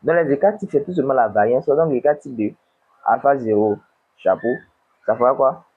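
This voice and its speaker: A man's voice: mid-range voice, husky. Man with a cold, tinny recording. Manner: slow, dictating numbers, asks and answers himself. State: has a cold. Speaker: econometrics tutor. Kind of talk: screen-recorded worked exercise.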